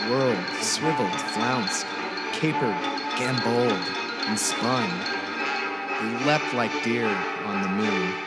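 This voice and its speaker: A man narrates a story with deliberate, theatrical phrasing. His voice sits at a medium pitch and he stretches certain words for drama. A loud musical score runs beneath him. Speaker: teacher reading aloud.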